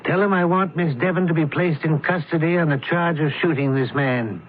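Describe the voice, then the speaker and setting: A man playing a shrewd, composed doctor sleuth with a measured, probing, courteous manner in a radio drama. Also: on the high side, close to an everyday voice. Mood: firm, accusing. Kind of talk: old-time radio drama acting. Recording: thin, noisy phone-like vintage radio recording.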